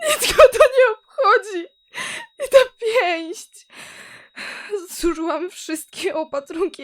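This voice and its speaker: A woman playing a desperate, grieving young girl in an audio drama, speaking in a halting, tearful way. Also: high-pitched. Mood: anguished crying, then weary despair. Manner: halting, tearful, repeating words.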